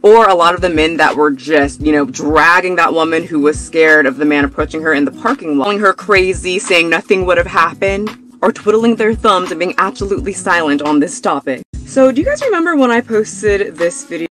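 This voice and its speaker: A man's voice: high voice, expressive, brisk, few pauses, a bit bright. A man giving pointed, explanatory social media commentary. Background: music.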